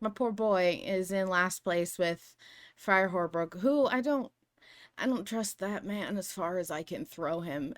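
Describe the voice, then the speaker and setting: A woman hosting a fan podcast, chatting casually in an animated, opinionated way with some swearing. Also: on the low side. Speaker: podcast host.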